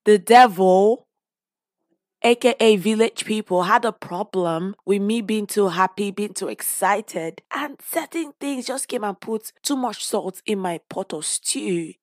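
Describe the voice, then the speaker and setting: A woman hosting her own podcast with a hoarse, worn voice, talking casually and openly with playful asides. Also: medium pitch, unhurried, a little mellow, dry recording, clean studio sound. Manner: chatty and open, with playful asides. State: lost voice, hoarse. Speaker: podcast host; teacher. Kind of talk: casual personal podcast talk.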